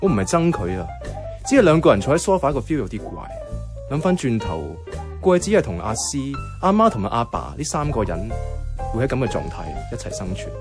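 An actor playing a mild, wry young man narrating his life as a reluctant flatmate in a Cantonese radio drama, with a Hong Kong accent and a casual, understated delivery. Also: mid-range voice, brisk, close to an everyday voice. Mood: wry, reflective. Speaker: narrator and lead character. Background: background music.

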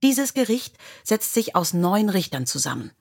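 A woman's voice: mid-range voice, very animated, normal speed, vocal fry, husky. A woman reading scripted biographical stories as an audiobook narrator.

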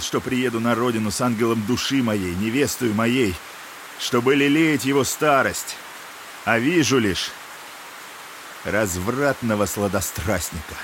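An actor playing a proud, wounded, hot-tempered young son in an audio drama, impassioned and indignant. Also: male voice, mid-range voice, fairly bright tone, slight background noise, lightly acted, poor audio. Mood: anguished contempt. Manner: impassioned, indignant, swinging into outbursts. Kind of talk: Russian classic audio drama acting.

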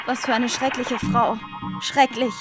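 An actress plays a young gentlewoman in a radio drama, earnest and proud. Her voice sits a little high, with formal wording. Music is clearly heard behind her. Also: level tone, unhurried, close to an everyday voice. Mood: indignant. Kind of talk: literary radio drama acting.

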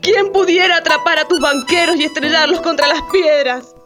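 An actress voices an aggrieved woman in radio theatre. Her high voice recites dramatically and denounces destruction. Music plays clearly behind her. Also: moderate pace, natural voice. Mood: anguished, accusing.

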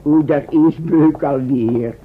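An actor playing a genteel, mysterious rescuer with a formal, courteous manner in a radio drama. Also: male voice, on the high side, slow. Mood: amused. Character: mysterious, genteel rescuer leading fifty identical men. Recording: muffled, noisy old radio recording.

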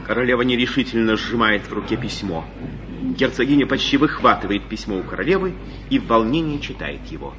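A man's voice: mid-range voice, lively intonation, fairly quick. A man narrating the stage action of a broadcast play from a script. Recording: dull, noisy 1950s radio broadcast recording.

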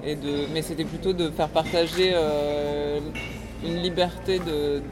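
A woman's voice: deep voice, steady tone, a little slow, music in the background. A woman musician and radio host giving a casual interview, thinking aloud with many fillers and restarts. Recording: noisy street recording.

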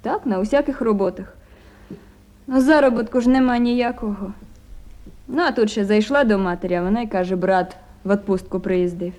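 A woman's voice: mid-range voice, normal speed, a little mellow, natural voice. An actress playing a poor, anxious village mother with a Ukrainian accent, rambling and plaintive in a naturalistic radio drama. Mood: plaintive, apologetic.

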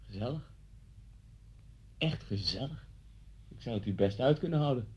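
A man's voice: mid-range voice, lively intonation, normal speed, a little mellow, breathy edge. An actor in a Dutch radio play, playing a talkative, coolly unsettling policeman who speaks politely and insinuatingly. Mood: chatty, needling. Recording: dull, muffled old radio recording.

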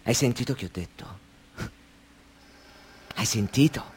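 An actor in a radio drama playing an anxious, controlling older brother who presses with tense questions. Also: male voice, medium pitch, measured pace, a little mellow, a little hiss or hum, natural voice. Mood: pressing, upset.

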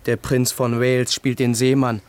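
A young actor playing a proud, defiant crown prince in a radio play, speaking in a haughty, self-assured way. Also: male voice, mid-range voice, flat delivery, moderate pace, darkish tone, natural voice. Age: young adult.

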